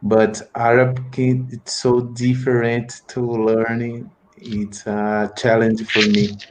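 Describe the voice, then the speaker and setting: Slow-talking man with a Brazilian accent. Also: mid-range voice, monotone, slight background noise, rough recording. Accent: Brazilian Portuguese. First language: Portuguese. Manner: hesitant, searching for words. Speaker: student. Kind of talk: casual chat in a second language.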